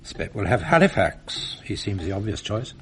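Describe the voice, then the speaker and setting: An actor playing an ageing, world-weary former British officer with an English accent and clipped, understated upper-class speech in a radio drama. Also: male voice, on the low side, sing-song, moderate pace, a bit bright. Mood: weary, grave. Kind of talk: period radio drama dialogue. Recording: noisy archive radio drama recording.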